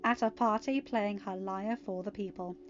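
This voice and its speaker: A woman's voice: mid-range voice, fairly even intonation, moderate pace, dull audio, slight background noise. A woman reading as a podfic storyteller. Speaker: podfic narrator. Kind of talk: scripted story narration. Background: faint music.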